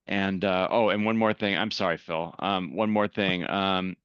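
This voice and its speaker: A man's voice: deep voice, steady tone, a little slow, smooth, no echo, highs cut off. A man hosting an online meetup, introducing speakers and making announcements in a casual manner with many fillers. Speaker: meetup host. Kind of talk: event announcements. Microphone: video call.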